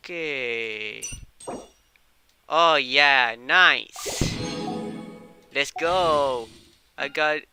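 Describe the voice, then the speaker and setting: A male game streamer speaking halting non-native English in casual live commentary over a windy, uncovered microphone. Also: medium pitch, music in the background. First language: not English. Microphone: microphone without its cover.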